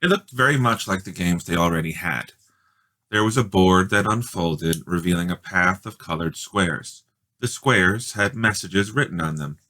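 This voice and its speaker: A man reading a children's story aloud in expressive, measured, dramatic narration. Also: sits low. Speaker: storybook reader.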